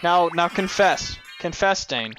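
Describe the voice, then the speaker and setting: A man's voice: high voice, expressive, a little slow, a bit bright. A man co-hosting a casual gaming show, teasing the others and laughing often. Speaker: show co-host, gamer. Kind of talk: casual gaming podcast chat. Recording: game music underneath.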